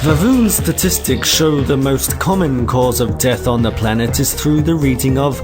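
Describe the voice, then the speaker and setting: A deadpan guidebook narrator performed by a man for a science fiction radio comedy. He explains in a measured, mock-documentary way at a middling pitch. Music plays clearly behind him. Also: lightly acted.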